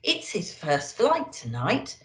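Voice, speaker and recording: A woman's voice: lowish voice, wildly swooping pitch, takes it slowly, reverberant, full character voice. A woman voicing a little old male elf in a storybook, earnest, hesitant and apologetic.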